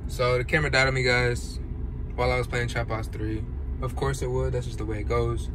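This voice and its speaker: A man's voice: medium pitch, little pitch movement, brisk, heavy background noise. A man chatting casually and slangily to a handheld camera in a truck cab, in a laid-back way. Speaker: car audio vlogger. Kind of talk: casual vlog chat. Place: inside a pickup truck cab.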